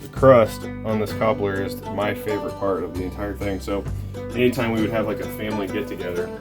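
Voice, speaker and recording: A man with a low-pitched voice hosts a family vlog. He talks casually, telling personal stories in an informal way. Guitar music plays clearly behind him. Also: steady tone, a little fast. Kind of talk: casual chat and personal storytelling.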